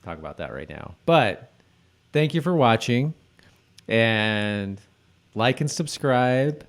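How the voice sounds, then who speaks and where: A man's voice: medium pitch, lively intonation, takes it slowly. A man co-hosting a podcast, chatting casually in a loose, somewhat hesitant conversational way. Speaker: podcast co-host. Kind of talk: casual chat about a TV episode.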